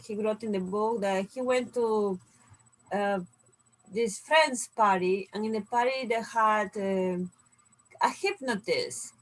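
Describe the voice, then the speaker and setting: Woman, grew up in Serbia and Peru, higher voice, animated storyteller. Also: rough recording. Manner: storytelling, animated, laughs often. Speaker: co-host, inventor. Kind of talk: casual conversation.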